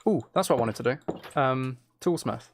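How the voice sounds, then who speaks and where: A man's voice: medium pitch, normal speed. A man giving casual gameplay commentary, thinking aloud in short, muttered phrases as he plays. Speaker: streamer playing a video game.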